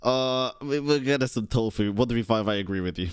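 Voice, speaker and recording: A woman's voice: extremely low pitch, a little fast, noisy background. A woman game streamer giving casual, slangy, exclamatory reaction commentary. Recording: game music behind the voice.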